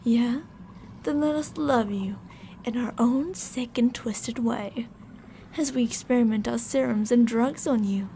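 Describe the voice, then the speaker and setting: A woman's voice: fairly high pitch, a little slow, mellow, slight background noise. A woman playing teasing, possessive female mad scientists in an ASMR roleplay, coaxing and domineering with sweet menace.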